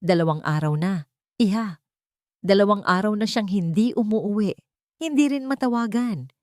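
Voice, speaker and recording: A woman's voice: mid-range voice, moderate pace, no echo, clean studio sound, close to an everyday voice. A woman voicing a worried middle-aged aunt, anxious and urgent, in a dramatic audio love story. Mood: tearful, anxious.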